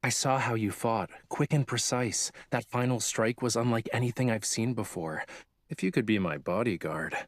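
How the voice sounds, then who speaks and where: A man's voice: mid-range voice, moderate pace, no echo, natural voice. An actor playing a playful, dreamy young male artist in a romance game, speaking in a casual, teasing way. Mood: matter-of-fact. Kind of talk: voiced dialogue in a romance game.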